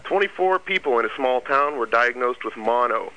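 Male co-host on talk radio; bright voice, upbeat quiz reader. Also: mid-range voice, expressive, normal speed, poor audio. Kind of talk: casual radio banter.

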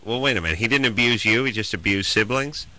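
A man's voice: on the low side, quick pace, bright, forceful tone, low-quality recording. A man co-hosting a call-in radio show, talking bluntly and cracking crude jokes. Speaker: radio co-host.

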